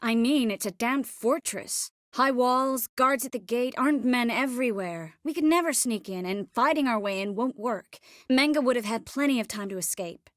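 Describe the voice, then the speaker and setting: An actress voicing a young sorceress in a video game, gentle, earnest and determined, with a measured, expressive delivery. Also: female voice, fairly high pitch, no echo, clean studio sound, close to an everyday voice. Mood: focused, determined. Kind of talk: video game character voice lines.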